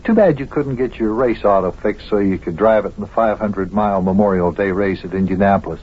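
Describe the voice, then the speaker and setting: A man playing a kindly, patient guardian who also narrates an old children's radio serial in a homey, measured way. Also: on the low side, husky. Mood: friendly, matter-of-fact. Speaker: host and narrator. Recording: very thin, phone-like old radio recording.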